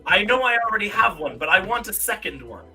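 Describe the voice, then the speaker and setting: A man's voice: high-pitched, very animated, quick pace, pushed and bright, rough recording. A man hosting a casual live-stream quiz game, reading out questions in a playfully mock-pompous way. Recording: music behind the voice. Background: music.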